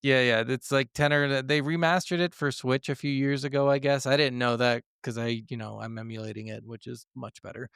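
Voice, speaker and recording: Gravelly-edged man chatting casually about games. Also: medium pitch, fairly even intonation, a little fast, hardly any pauses, fairly bright tone, no room sound, clean studio sound. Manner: profane, enthusiastic. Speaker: podcast co-host. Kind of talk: casual gaming podcast chat.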